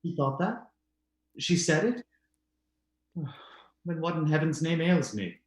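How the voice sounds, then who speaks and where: A man's voice: on the high side, animated, takes it slowly. A man playing a tormented, guilt-ridden widower in love, melodramatic and brooding, in an old-time radio drama style, with a roomy echo. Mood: troubled, guilty. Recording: echoey, roomy sound.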